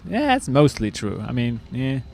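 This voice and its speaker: A man's voice: medium pitch, wildly swooping pitch, moderate pace, a little hiss or hum. A man streaming a video game live, chatting casually and laughing as he answers his chat. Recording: clear game music under the voice. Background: game music.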